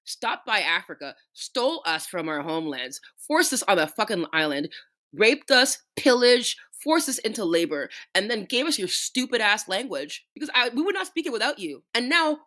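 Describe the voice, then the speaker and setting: A woman's voice: mid-range voice, animated, fairly quick, sharp tone. A young woman from Canada co-hosting a casual podcast chat, talking with passion, swearing freely and ranting at length. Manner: impassioned, profane, ranting. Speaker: podcast co-host.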